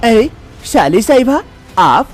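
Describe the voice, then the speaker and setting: A woman's voice: on the high side, sing-song, slow pace, soft background music, full character voice. Flustered young husband, as a woman voices him.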